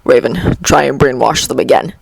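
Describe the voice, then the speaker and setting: A narrator voicing a gruff, commanding adult male hero teacher who gives terse instructions. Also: mid-range voice, normal speed, no room sound, pitched below the performer's own voice, poor audio. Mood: insistent.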